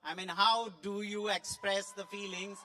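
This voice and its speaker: A man's voice: high voice, steady tone, unhurried, pushed and bright. A man giving an effusive, emphatic impromptu speech as a judge at a school play event. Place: large school hall. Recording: echoing hall with long reverb.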